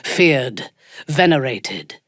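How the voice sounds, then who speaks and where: A ruthless female dark elf warrior for a video game. She speaks in a low, husky register with slow, formal, imperious phrasing. The delivery is proud and disdainful, recorded close and dry. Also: animated, no room sound, full character voice. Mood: menacing, contemptuous. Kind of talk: video game character dialogue.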